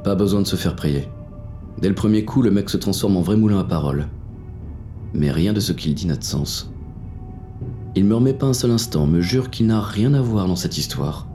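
Man acting a very deep-voiced hardboiled noir inspector. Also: expressive, normal speed, dark tone, soft background music. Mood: cool menace. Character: hardboiled male police inspector, menacing, weary, noir narrator. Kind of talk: scripted first-person noir audio fiction narration.

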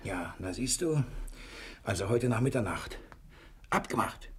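A man's voice: fairly low pitch, expressive, a little slow, husky, full character voice. An actor playing a cunning, polite male prisoner in a German radio crime drama. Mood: conspiratorial. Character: cunning male prisoner feigning a dazed amnesiac, smooth-talking.

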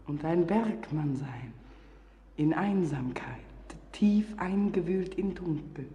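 A woman's voice: fairly low pitch, lively intonation, takes it slowly, warm tone. A woman acting a solemn, seductive, commanding spirit of the underworld in a verse radio drama, in an incantatory manner. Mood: prophetic. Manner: incantatory, breaking into whispers. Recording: long cavernous reverb.

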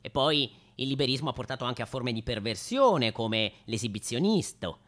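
Animated male comedian, swooping delivery. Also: mid-range voice, normal speed. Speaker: comedian, writer-performer of a radio monologue. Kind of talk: scripted satirical comedy monologue.

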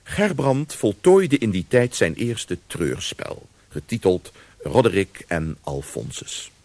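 A man's voice: on the low side, huge pitch swings, slow, gravelly, a little mellow, no echo. A man narrating a scripted radio drama as storyteller.

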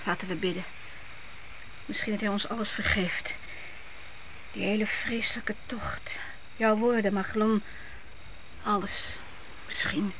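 Radio-play mother, female actor: tired, tender, reverent, medium pitch, faint recording noise. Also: fairly even intonation, measured pace, long pauses, fairly bright tone, natural voice. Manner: pleading, reverent. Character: exhausted, devout, loving mother and wife. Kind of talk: Dutch radio play acting. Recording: noisy old radio drama recording.

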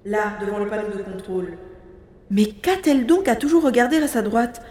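A woman's voice: mid-range voice, normal speed, mellow, close to an everyday voice. A woman as an earnest young searcher, roomy echo. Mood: tense, observing. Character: determined, tender young searcher seeking a stolen baby. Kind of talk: French audio drama adapted from a youth novel. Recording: roomy echo with music underneath.

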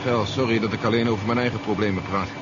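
A man's voice: on the low side, fairly even intonation, fast talker, close to an everyday voice. Stern, worried general played by a man, muffled. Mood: worried, gloomy. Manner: clipped, authoritative, serious. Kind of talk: 1970s Dutch radio drama acting. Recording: muffled noisy 1970s radio recording.

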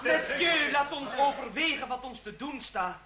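A man's voice: very high-pitched, animated, moderate pace. A man acting an earnest, indignant young seminarian in a Dutch radio play, declaiming with idealistic fire. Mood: fiery indignation. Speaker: radio drama actor. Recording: dull old radio recording, crowd noise.

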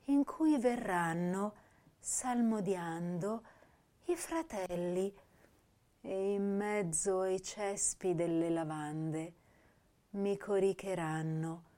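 An actress playing an intense, sensitive young woman poet, declaiming verse in a measured, lyrical way for a radio drama. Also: medium pitch, mellow. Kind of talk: dramatic poetry recital in a stage-style radio drama.

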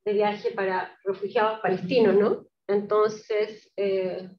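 A woman giving a public talk on poetry with readings, explaining in a halting way and often checking with short tag questions. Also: mid-range voice, little pitch movement. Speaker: lecturer presenting Palestinian poetry. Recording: roomy, distant, dull lo-fi pickup.

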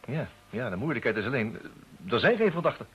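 Fast-talking private detective, muffled recording. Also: male voice, mid-range voice, very animated, breathy edge. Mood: earnest, pressing. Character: brisk, persistent male private detective. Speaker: actor. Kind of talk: radio drama acting. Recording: muffled, noisy old radio drama recording.

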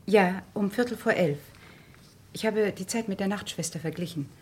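A woman acting a composed, guarded doctor under questioning in a German radio crime drama, speaking in a measured, precise way. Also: medium pitch, slight background noise, natural voice.

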